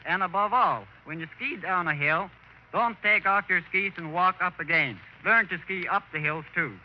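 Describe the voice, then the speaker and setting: A man's voice: sits high, moderate pace, dull audio, a little hiss or hum. A man giving scripted straight-man answers as a guest in a 1930s radio interview, on an old radio recording. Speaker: guest, skier.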